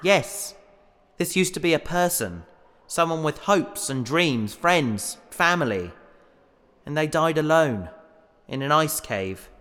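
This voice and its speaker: A man's voice: fairly high pitch, lively intonation, slow pace. A man playing a patient, earnest and squeamish scientist who speaks politely and precisely in a sci-fi audio drama. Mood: gentle, earnest. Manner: polite, precise, gently admonishing. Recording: long hall-like reverb.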